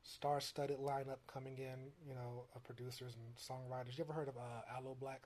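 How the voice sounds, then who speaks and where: A soft-spoken man being interviewed as a music producer, talking casually with many fillers and restarts. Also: mid-range voice, level tone, fairly quick, slight background noise.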